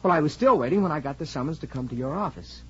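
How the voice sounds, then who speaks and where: An actor playing an earnest, respectful young college athlete in a 1940s radio drama. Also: male voice, on the high side, lively intonation, racing, fairly bright tone, breathy edge. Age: young adult. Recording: muffled, hissy 1940s radio recording.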